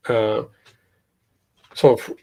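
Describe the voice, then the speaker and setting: A young man from Italy, talking casually as an interview guest, halting with many fillers and restarts. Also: darkish tone. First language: Italian. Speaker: guest, podcast host.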